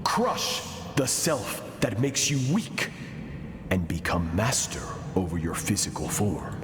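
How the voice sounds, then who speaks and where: A man's voice: lowish voice, sing-song, slow pace. A man voicing a stern, merciless master in a video game, severe, commanding and formal. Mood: cold, commanding. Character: stern, merciless male master training a pupil. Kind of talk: scripted video game dialogue. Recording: cavernous echoing game audio with music.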